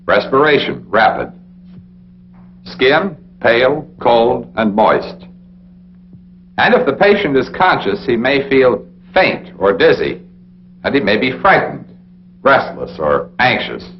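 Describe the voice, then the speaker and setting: An American man narrating a 1960s military training film in an authoritative, deliberate, instructional way, speaking straight to the listener. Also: mid-range voice, big pitch swings. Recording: dull, noisy 1960s film soundtrack with hum.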